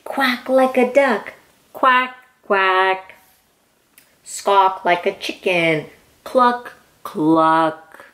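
A woman in her thirties reading a picture book aloud to young children in an excited, encouraging, exaggerated way. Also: mid-range voice, extremely slow. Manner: exaggerated, sing-song animal noises and questions. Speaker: children's read-aloud host. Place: indoor room with plain wall.

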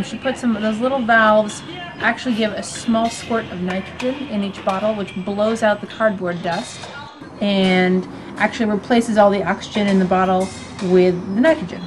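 A woman in her thirties explains a work process step by step, as a worker would. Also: medium pitch. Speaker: winery worker. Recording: clearly noisy amateur video sound.

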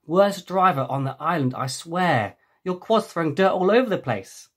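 Man playing a grumbling, husky fussy boy. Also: fairly high pitch, lively intonation, normal speed, voice pushed up. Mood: exasperated.

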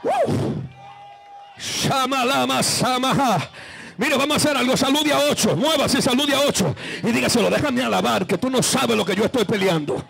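High, gravelly man preaching fervently. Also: quick pace, hardly any pauses, fairly bright tone, rough recording. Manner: impassioned, exclamatory, repeating phrases for emphasis; breaks into speaking in tongues and calls on listeners to respond. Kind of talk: fervent Pentecostal sermon.

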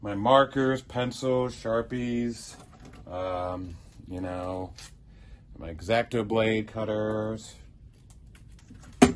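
A man's voice: on the low side, very slow, pauses often, noisy background. A middle-aged man giving a casual, rambling tour of his prop box in a theater prop shop, listing tools with many ums and uhs. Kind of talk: casual show-and-tell tour.

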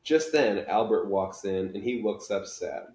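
A man's voice: fairly low pitch, lively intonation, unhurried, darkish tone. A man in his thirties reading a children's book aloud in a casual style, recorded in a living room. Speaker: reader, teacher. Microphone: camera a few feet away. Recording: roomy living-room echo.

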